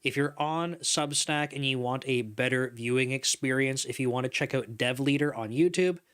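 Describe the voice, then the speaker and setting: A man's voice: medium pitch, normal speed, a bit bright, smooth. A man in his thirties hosting a casual livestream from a home studio, chatting at length, laughing often and reading chat messages aloud. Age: 30s. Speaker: livestream host. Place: home studio room with couch and neon lights. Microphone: desk microphone in front.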